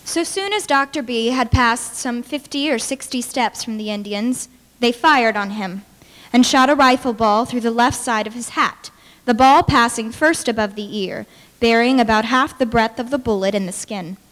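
A woman's voice: on the high side, normal speed, fairly bright tone. A woman in her twenties reading a historical account aloud formally at a podium microphone in a hall. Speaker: dramatic reader. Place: large indoor hall with brick walls.

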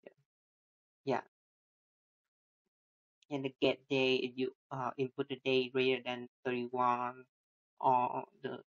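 Halting man walking through code. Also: medium pitch, a bit bright. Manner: halting, many restarts and fillers, non-native phrasing. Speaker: student presenting a coding project. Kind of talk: screen-recorded code walkthrough. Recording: dull lo-fi sound.

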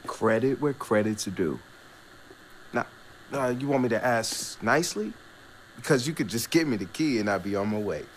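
A man's voice: mid-range voice, expressive, moderate pace, slight background noise, natural voice, low-quality recording. A man playing a confident young hacker leader in a video game, casual and slangy but determined. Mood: cool, needling. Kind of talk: video game cutscene acting.

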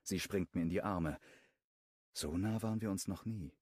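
A man narrating a first-person romance audiobook in a calm, wry tone. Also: sits low, normal speed, no room sound, studio quality.

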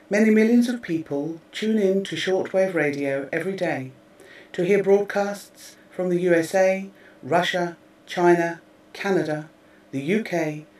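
A man reading scripted narration in a measured, formal way, as if delivering a press release. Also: high-pitched, darkish tone. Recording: roomy, distant microphone.

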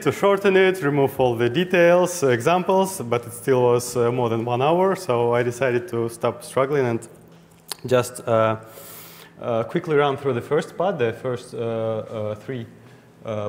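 A young man with a Russian accent giving a technical meetup talk, hesitant and full of fillers and restarts. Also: mid-range voice. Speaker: conference speaker. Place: meetup room with audience. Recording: hall reverb, faint ambient noise.

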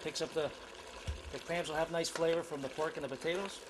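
A man's voice: on the high side, steady tone, a little fast, background noise. A middle-aged man hosting a home cooking show, demonstrating a dish in his kitchen with enthusiasm and plenty of fillers. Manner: enthusiastic, talks while cooking, many fillers.

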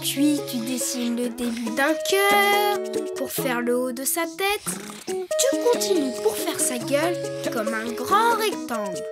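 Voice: sits high, big pitch swings, takes it slowly, full character voice. An actor voicing a cheerful, helpful little rabbit boy in a children's educational cartoon, explaining things in an encouraging way. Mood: calm, instructive. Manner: encouraging, instructive. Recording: music behind the voice.

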